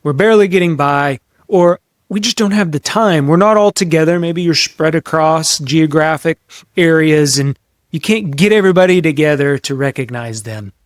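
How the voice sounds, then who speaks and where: A man with a somewhat high voice talks as a podcast host giving practical leadership advice. He is conversational yet instructive, pressing on important words to drive points home. The sound is dry and close, with very little room in it. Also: normal speed, no room sound. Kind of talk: practical business advice talk to camera or mic.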